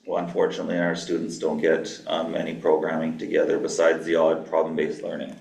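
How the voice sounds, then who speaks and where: A man from Canada giving an academic lecture as a guest pharmacy professor, with a flat monotone delivery, dry asides and many ums. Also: rumbling low, brisk, gravelly. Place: lecture hall. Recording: echoey hall, mic far off.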